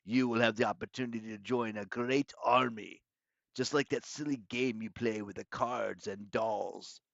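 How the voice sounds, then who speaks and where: A man's voice: on the low side, fairly even intonation, gravelly, fairly bright tone, dry recording, muffled recording. A man voicing a menacing monster in disguise, pompous and condescending, in a storybook reading. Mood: gloating menace. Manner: pompous, condescending, deliberate villain delivery. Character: menacing, gloating male monster disguised as a schoolmaster.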